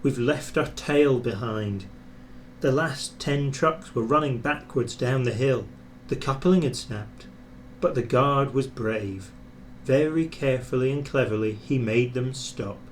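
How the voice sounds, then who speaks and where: A man narrating a children's storybook in an expressive scripted reading. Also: medium pitch, a little slow, a little hiss or hum. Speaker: storybook reader.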